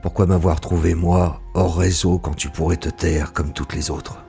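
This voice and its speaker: A very deep, brooding male narrator, played by a man who was human only for seconds. He speaks in a slow, pensive way over faint music. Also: steady tone, vocal fry, mellow. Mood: searching. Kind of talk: scripted audio drama narration.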